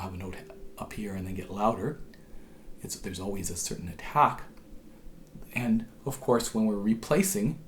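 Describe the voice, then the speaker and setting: A hoarse man with a cold, patiently explaining how to play a tune as a harp teacher, his voice sometimes dropping to a whisper. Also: fairly low pitch. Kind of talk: instructional tutorial.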